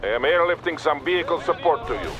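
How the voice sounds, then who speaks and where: An actor playing a stern, composed Soviet army colonel with a Russian accent, giving measured orders in a military video game. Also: male voice, fairly high pitch, lively intonation, over music, lightly acted. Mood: authoritative. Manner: authoritative, measured, commanding. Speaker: military commander character. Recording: battle gunfire noise behind voice. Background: gunfire, artillery and vehicles.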